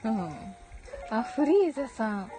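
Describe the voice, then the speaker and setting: A woman's voice: a little high, big pitch swings, a little slow, dark tone, faint music behind. A woman hosting a casual live audio chat, answering listener comments in a giggly way, often repeating short phrases of agreement.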